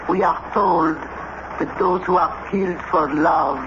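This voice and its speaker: A woman playing a proud witch in an old radio play. She speaks slowly and theatrically with a sly, businesslike haughtiness. The recording is muffled and clearly noisy. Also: fairly low pitch, fairly even intonation, close to an everyday voice. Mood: cool, mysterious. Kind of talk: radio drama acting.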